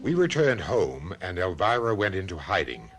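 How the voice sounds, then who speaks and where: Radio mystery narrator-lead: calm, protective man, deep register, matter-of-fact gravity, clear musical backing. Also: moderate pace, over music. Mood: grave narrating. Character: steady, protective male friend narrating a strange mystery.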